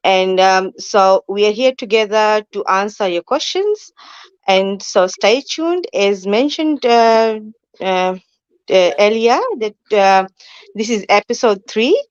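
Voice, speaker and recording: Woman hosting an online show, kinda halting, clean smooth voice but dull lo-fi call sound. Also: medium pitch, no echo. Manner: hesitant, halting. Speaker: talk show host. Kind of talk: live online show introduction.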